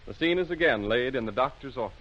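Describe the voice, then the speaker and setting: A man announcing on old-time radio in a formal, measured, emphatic sponsor style. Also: medium pitch, lively intonation, fairly bright tone, slight background noise. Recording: dull lo-fi old radio recording.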